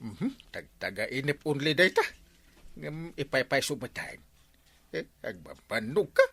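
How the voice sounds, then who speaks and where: An actor playing an excitable, teasing village uncle in a comedy radio drama, halting and full of exclamations. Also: male voice, mid-range voice, husky, no echo, heavily acted. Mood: doubtful.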